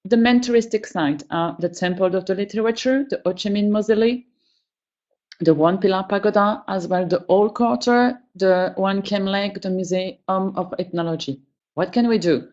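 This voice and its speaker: A woman trainer from a travel company gives a webinar presentation in non-native English, often pausing and searching for words. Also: medium pitch, a little mellow, highs cut off.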